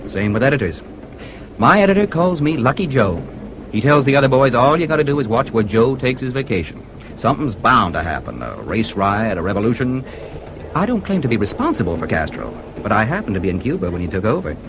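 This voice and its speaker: A man's voice: mid-range voice, big pitch swings, brisk. An actor playing a confident, genial American newspaperman in a radio drama, with an American accent. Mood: confident, amused. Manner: genial, breezy, self-assured. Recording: radio play with clear background noise.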